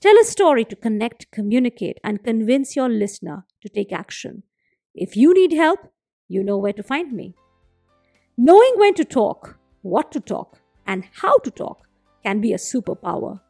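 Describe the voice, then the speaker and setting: A woman storyteller hosting a folktale show, narrating and commenting expressively with dramatic, deliberate phrasing. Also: fairly high pitch, very animated, dark tone, no echo, studio quality, soft background music. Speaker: storyteller and podcast host.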